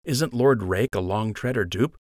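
A man's voice: lowish voice, moderate pace, darkish tone, dry recording, studio quality, voice pushed up, close to an everyday voice. A man playing an earnest, intense young male rabbit archer in an audiobook. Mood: indignant.

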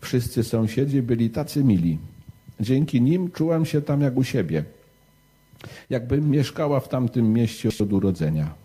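A man's voice: mid-range voice, expressive, moderate pace, mellow, husky, pitched above the performer's own voice. A man voicing a smiling, friendly woman newcomer in a bedtime story, with an appreciative manner. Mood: cheerful, fond.

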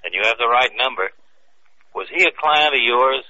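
A blunt, worn-out police lieutenant, with a very hard, bright edge. It is radio crime drama on a dull old recording. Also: male voice, medium pitch, lively intonation, moderate pace. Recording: dull, faintly noisy vintage radio recording.

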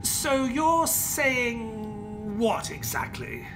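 An actor playing an earnest, puzzled gentleman sidekick in an audio play, with a polite, hesitant manner. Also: sits high, very animated, very slow, over music, full character voice. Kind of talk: audio play acting and storytelling.

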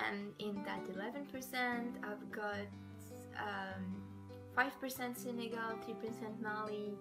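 A young woman from Algeria reading out her results casually, haltingly, with many pauses and fillers, in a room at home. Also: medium pitch, steady tone. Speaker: vlogger sharing test results. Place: indoor room. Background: guitar music.

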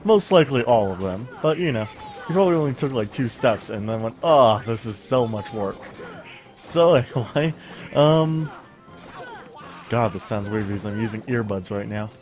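A man's voice: medium pitch, animated, normal speed, a little mellow, faint music behind. A stuffy-sounding young man on a studio mic, casually rambling through gameplay commentary with lots of fillers and humming. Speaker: let's play host.

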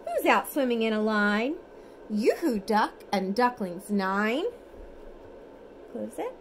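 A woman in her thirties reads a storybook to a small child. Her high voice swoops in a lively, sing-song lilt, and she takes her time, encouraging the listener. It is recorded on a phone at home, with a faint noise behind her. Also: slow pace. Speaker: mother reading a bedtime story. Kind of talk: read-aloud storytelling to a toddler. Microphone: phone at arm's length.

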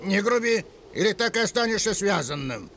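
An actor playing a dim, rough animal henchman of a villain in a cartoon. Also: high voice, sing-song, a little fast, fairly bright tone, slight background noise, full character voice. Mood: gruff, taunting.

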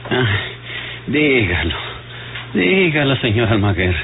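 An actor playing a bitter, sardonic dying old rogue in a radio serial, with a Mexican accent and a groaning, halting, rustic way of talking. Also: male voice, medium pitch, big pitch swings. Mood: sardonic, rambling. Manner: rustic, sardonic, colloquial speech. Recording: muffled, hissy old radio drama recording.

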